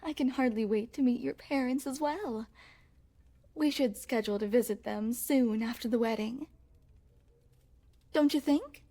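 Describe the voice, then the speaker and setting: Woman acting a soft-toned, unhurried regal young princess. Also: a little high. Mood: sweet, curious. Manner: formal, courtly, coy phrasing. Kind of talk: intimate ASMR roleplay acting.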